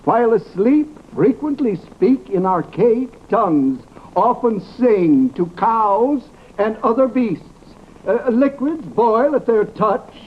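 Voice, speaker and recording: An actor playing a fussy, credulous, dithering professor in an old radio comedy drama, hesitant and stammering. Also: male voice, high-pitched, takes it slowly. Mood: alarmed, excitable. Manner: hesitant, stammering, fussily excitable. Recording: muffled, noisy old radio recording.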